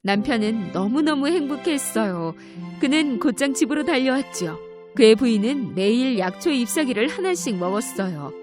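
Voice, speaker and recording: A woman's voice: a little high, expressive, moderate pace, over music. A woman storyteller reading scripted narration of a fairy tale for children.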